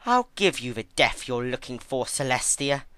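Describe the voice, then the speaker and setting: A man's voice: medium pitch, big pitch swings, normal speed, bright, forceful tone, smooth, no room sound, voice pushed up. A man voicing an anguished, furious young female unicorn pony in a dramatic story reading, emotional and confrontational. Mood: cold resolve.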